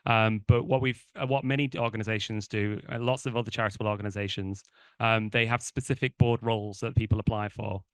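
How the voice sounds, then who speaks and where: A board member explaining the election process to a meeting, in a loose way with many fillers and restarts. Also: male voice, on the low side, steady tone, quick pace, clean tone, no echo.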